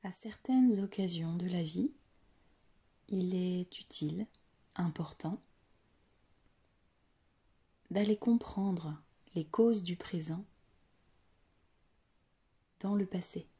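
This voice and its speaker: A woman guides a meditation in a very husky, breathy voice that often sinks toward a whisper. She speaks very slowly and deliberately, leaving long pauses between short phrases. Her tone is mellow and soothing, pitched a little low. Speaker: yoga nidra guide.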